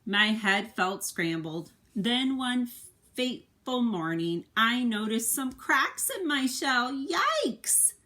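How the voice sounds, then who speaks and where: A woman voicing an earnest, worried male egg who tells his own storybook tale, with expressive, dramatic delivery. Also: fairly high pitch, slow, voice pushed up, full character voice. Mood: stressed, weary.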